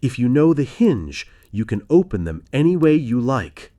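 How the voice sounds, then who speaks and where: Man reading as a calm, clever farmer's daughter. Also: medium pitch, normal speed. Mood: quietly witty. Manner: steady, quiet authority.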